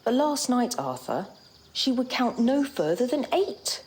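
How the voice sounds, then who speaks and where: An actress playing a concerned Victorian wife in an audio drama. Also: female voice, fairly high pitch, sing-song, a little slow, a little mellow. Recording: clear background noise, clinking dishes.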